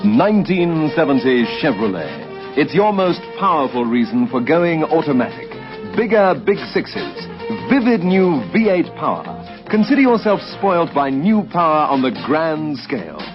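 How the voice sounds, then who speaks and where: A man as a commercial announcer giving a punchy vintage radio sales pitch. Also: sing-song, normal speed. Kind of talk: vintage radio car advertisement. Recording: muffled old radio recording with music.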